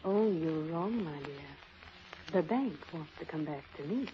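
A sly, swooping worldly flirt. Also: female voice, low voice, lively intonation, a little slow. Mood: sultry, teasing. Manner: condescending, sultry, theatrical. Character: sly, worldly, flirtatious female former secretary. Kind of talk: 1930s radio drama acting. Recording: muffled, noisy old 1930s radio recording.

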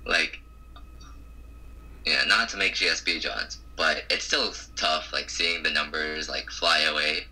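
A man chatting casually as a caller on speakerphone, speaking in a hesitant, halting way. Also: rumbling low, steady tone, sharp tone, low-quality recording. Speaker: coaching student, caller. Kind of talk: casual chat. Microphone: phone call on speakerphone.

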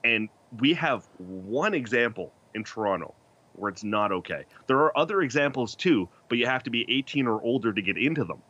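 A man's voice: medium pitch, expressive, brisk, a bit bright, muffled recording. A man in his thirties, a podcast host joking and laughing as a debate guest over a video call. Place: small indoor room.

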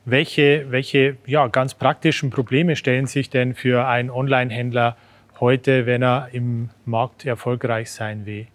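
Man, thirties, mid-pitched voice, polite moderator, slightly halting questions, expert talk. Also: little pitch movement. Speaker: host, moderator. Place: large dark indoor studio showroom.

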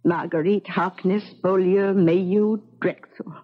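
A woman's voice: lowish voice, fairly even intonation, measured pace. A woman playing a fussy, doting older colonial maidservant in a radio drama, fretful and coaxing. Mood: fretful, explaining. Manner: fretful, coaxing, gushing endearments. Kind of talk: 1970s radio drama acting. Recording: dull 1970s radio recording.

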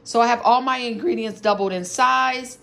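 Woman, home cook host; plain step-by-step recipe narration, slightly high voice. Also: steady tone, normal speed, vocal fry, pushed and bright. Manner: plain step-by-step explaining, listing ingredients. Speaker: home cooking video host. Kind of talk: casual cooking demonstration. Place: home kitchen, dining table.

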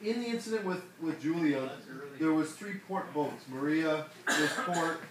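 A man's voice: a little high, moderate pace. Male club sailor, brief and matter-of-fact; far from the mic, roomy, noisy. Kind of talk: group discussion. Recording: distant echoey room, loud noise.

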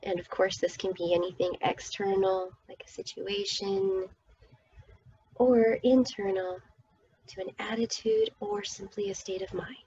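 Slow-speaking woman guiding a meditation. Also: medium pitch, little pitch movement, a little mellow, dull audio, slight background noise. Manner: soothing, often dropping to a breathy whisper. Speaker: guided meditation teacher. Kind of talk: guided meditation narration.

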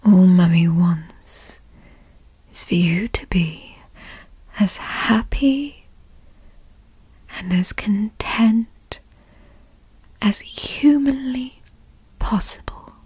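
A woman playing a possessive, doting, teasing girlfriend in a whispered ASMR roleplay, coaxing and cooing in a breathy voice. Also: mid-range voice, dark tone, no echo, exaggerated voice. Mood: soothing, coaxing. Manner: coaxing, cooing, slow and indulgent. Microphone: close studio mic.

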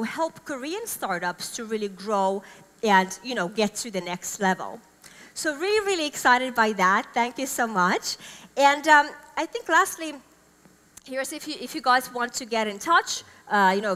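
A Finnish woman in her thirties speaks at a conference with bright, lively enthusiasm. Her voice sits a little high and rings through a big hall with a long reverb tail. She talks into a headset mic, and English is not her first language. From Finland. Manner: enthusiastic, upbeat, gestures while talking. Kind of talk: keynote speech. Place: large conference stage.

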